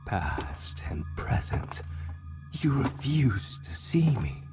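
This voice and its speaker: A man's voice: medium pitch, sing-song, slow pace, over music. An actor playing a bitter, vengeful, haunted man in a video game, speaking in a brooding half-whisper. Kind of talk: dramatic video game monologue.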